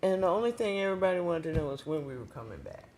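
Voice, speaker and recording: A woman with a deep voice, a guest singer chatting easily in an interview, with frequent pauses and "um"s. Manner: relaxed, chatty, says "um" often. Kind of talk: casual interview chat.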